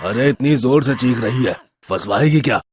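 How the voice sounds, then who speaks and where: A woman voicing a drunken, lecherous male street thug with a husky, coarse, threatening way of talking, in an audio story. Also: very deep voice, moderate pace, a little mellow, slight background noise, pitched below the performer's own voice.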